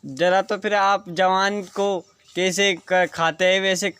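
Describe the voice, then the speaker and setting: A man in a folk stage story who puts quick, pleading requests to a frog. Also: sits high, little pitch movement, a bit bright, smooth, dry recording.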